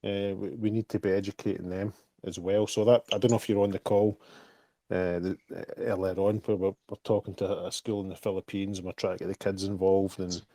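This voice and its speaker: Frank Scottish man, low and mellow. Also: level tone, brisk, dry recording. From Scotland. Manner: frank, repeats words while he thinks. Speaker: project co-founder answering questions. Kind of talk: casual group call Q&A.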